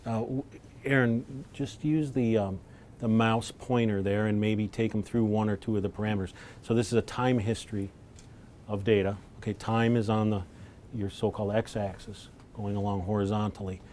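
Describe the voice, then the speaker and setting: A man with a fairly low voice leads a technical briefing for visitors. He explains in plain, measured terms, with a light rumble in the room. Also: fairly even intonation, a little mellow. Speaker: lab lead presenting to visitors. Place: laboratory tour, indoors.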